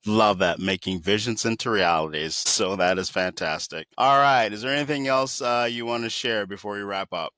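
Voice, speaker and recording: A man's voice: lowish voice, moderate pace, few pauses, sharp tone, no room sound. A man hosting a podcast interview, a life coach who talks in an affirming way, full of fillers.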